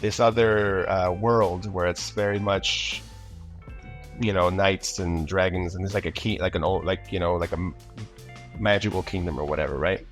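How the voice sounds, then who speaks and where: Male interview guest, voice actor. Low register, loose anecdotal delivery with repeated words, faint music behind. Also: moderate pace. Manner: animated, rambling with repeated words. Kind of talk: casual interview chat with anecdotes.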